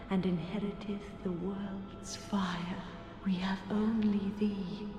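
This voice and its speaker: An actress playing a solemn, regal, devoted goddess in a fantasy game, with archaic, reverent, stately speech. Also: female voice, mid-range voice, natural voice. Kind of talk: theatrical fantasy video game acting. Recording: echoing hall reverb, music underneath.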